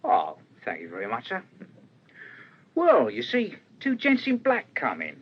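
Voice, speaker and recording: Very animated waiter, husky voice. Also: male voice, medium pitch, unhurried, full character voice. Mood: deferential. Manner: colloquial, animated storytelling. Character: chatty, deferential working-class male waiter, baffled storyteller. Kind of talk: radio crime drama acting. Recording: dull, rough radio drama recording.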